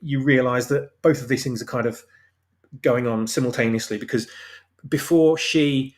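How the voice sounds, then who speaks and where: A man's voice: mid-range voice, steady tone, moderate pace. Explanatory man in casual discussion. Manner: explanatory, rephrases as goes. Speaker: guest, audio drama writer. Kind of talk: casual discussion of own story.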